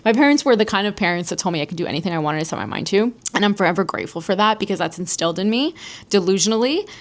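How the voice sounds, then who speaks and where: An American woman from New Jersey hosts a solo podcast with a mid-pitched voice. She talks casually and candidly, as if telling a personal story to friends. Her delivery is chatty and unscripted, with the odd swear word. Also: animated, very fast. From New Jersey, United States. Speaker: podcast host.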